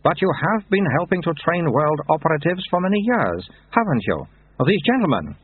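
A man's voice: a little high, big pitch swings, moderate pace, slightly rough, dry recording, voice pushed up. A man voicing an ancient abbot in a baby's body, who slips into baby babble mid-sentence while giving orders. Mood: stern. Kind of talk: audiobook character reading. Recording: dry, tinny phone-like sound.

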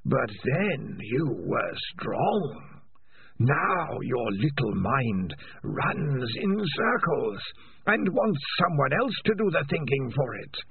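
A man voicing a sinister rat's voice speaking inside a cat's head, breathy, slow and drawn out. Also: medium pitch, very animated, no echo, narrow, tinny audio, pitched above the performer's own voice, heavily acted. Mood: menacing. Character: menacing rat mind-voice, whispering, slow.

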